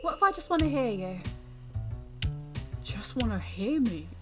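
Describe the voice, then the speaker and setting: A woman's voice: fairly high pitch, sing-song, unhurried, music in the background. A woman voicing a bored, teasing ancient demon with a theatrical, mocking manner and cackles, in a dramatic visual novel reading. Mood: playful coaxing.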